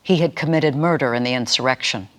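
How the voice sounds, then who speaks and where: A narrator giving a dramatic scripture reading in a measured, solemn manner. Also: mid-range voice, a bit bright.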